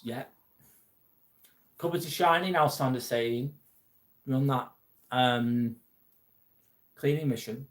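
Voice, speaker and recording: A man's voice: mid-range voice, fairly bright tone. An English man hosting a live stream, chatting casually, reading out viewer comments and joking about a troll. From England. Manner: chatty and jokey, mildly irritated by a troll.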